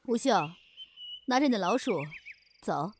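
A woman's voice: fairly high pitch, lively intonation, normal speed, a little mellow, slight background noise. A woman playing an aloof, mysterious supernatural wish-dealer in an audio drama, curt, mocking and dismissive. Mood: brisk. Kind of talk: Chinese audio drama acting. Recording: clear music under the voice.

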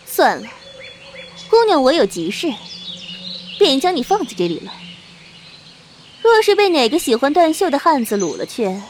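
A high-pitched, very animated young wuxia heroine acted by a woman, haughty and mocking. She teases with playful menace in an unhurried radio-play delivery, with faint noise and birdsong behind her. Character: teasing, domineering young martial-arts heroine from demonic sect. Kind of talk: Chinese wuxia audio drama acting.

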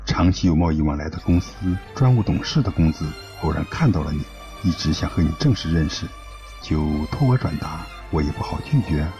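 A very low male voice under clear music, a middle-aged company boss. He is courteous and businesslike, in radio drama style. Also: lively intonation, fairly quick, mellow.

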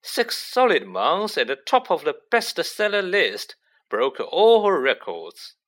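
A man's voice: fairly high pitch, huge pitch swings, takes it slowly, a bit bright, pitched above the performer's own voice, full character voice. A man voicing a vain, self-satisfied celebrity wizard in a storybook, pompous and effusive, stretching out words for emphasis. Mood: self-satisfied, boastful. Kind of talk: audiobook character acting.

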